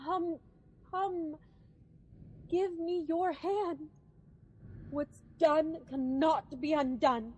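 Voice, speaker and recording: A haunted sleepwalking noblewoman in a Shakespearean radio play. Her voice is high and very slow. She mutters in broken fragments, then breaks into imperious, guilt-racked outbursts. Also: fairly even intonation, darkish tone, slight background noise. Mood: haunted, urgent. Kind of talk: Shakespearean radio drama acting.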